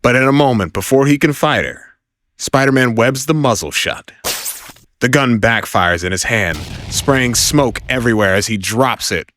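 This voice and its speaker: A man's voice: medium pitch, animated, normal speed, fairly bright tone, no room sound. A man narrating an audio drama from a script in a wry, theatrical way.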